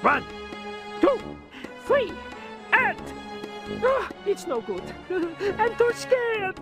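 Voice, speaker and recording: A woman voicing a cowardly, excitable inventor who dreams of flying, in a cartoon game fan dub. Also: very high-pitched, drawn-out words, loud music behind the voice, heavily acted. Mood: nervous. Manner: nervous, hesitant, exclaiming. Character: cowardly, excitable would-be flyer inventor, an adult.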